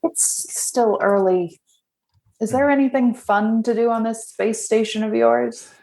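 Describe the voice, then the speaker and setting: A woman playing a tough, cynical starship captain with a blunt, deadpan delivery in a comedic sci-fi radio play. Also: fairly high pitch, a little slow, creaky, rough recording. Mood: dry, teasing.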